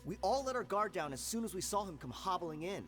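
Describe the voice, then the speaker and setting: An actor playing a boastful but cowardly mercenary grunt in a game, sneering and then stammering when threatened. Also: male voice, sits high, expressive, quick pace, fairly bright tone. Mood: bitter. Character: cowardly boastful mercenary thug, adult man. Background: game music.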